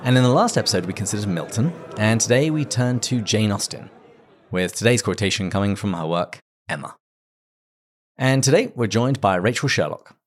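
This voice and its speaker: A man hosting a podcast, reading a measured introduction and then chatting politely with his guest. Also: lowish voice, lively intonation. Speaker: podcast host. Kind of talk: scripted intro, then conversation.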